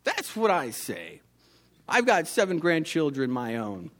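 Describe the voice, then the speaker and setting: A man voicing a cross old woman who sells apples, scolding in a high, drawn-out voice. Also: wildly swooping pitch, fairly bright tone, exaggerated voice. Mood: grumbling. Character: scolding old female apple seller, gruff grandmother.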